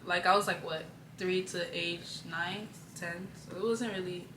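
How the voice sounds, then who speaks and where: A young woman from Nigeria, a guest in casual chat, talking in a relaxed, rambling way with slang. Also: on the low side, a little slow. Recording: echoey room, faint noise.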